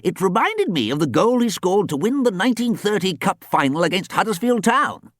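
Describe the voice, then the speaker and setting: A man's voice: high-pitched, big pitch swings, a little fast, a bit bright, dry recording, studio quality, pitched above the performer's own voice. A man voicing a fussy, proud old ghost who coaches a children's football team, speaking in a formal, old-fashioned way. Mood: pleased.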